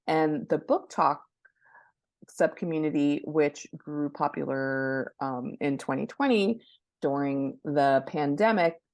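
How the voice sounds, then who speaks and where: A woman with a notably low voice speaks as an expert guest in an interview. She answers conversationally, often rephrasing mid-thought. The sound is dry, with almost no room reflection. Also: slow pace, darkish tone, no echo. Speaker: guest expert, book marketing professional.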